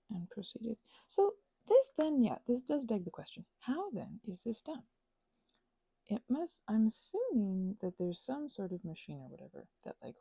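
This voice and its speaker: A woman's voice: medium pitch, creaky, mellow, breathy edge, dry recording. A woman chatting casually in an ASMR craft ramble, whimsically talking to herself and often drifting into whispers.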